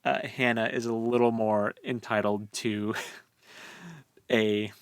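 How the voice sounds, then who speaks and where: A man's voice: on the low side, fairly even intonation, slow pace, smooth, dry recording. Casual man chatting, close and dry. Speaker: podcast co-host. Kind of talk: casual book-club discussion.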